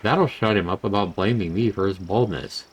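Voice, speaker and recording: A man's voice: fairly low pitch, moderate pace, slightly rough, low-quality recording. A man voicing a brash, money-hungry young adventurer from a visual novel, with slangy, cajoling banter. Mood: indignant, boastful. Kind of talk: visual novel character reading.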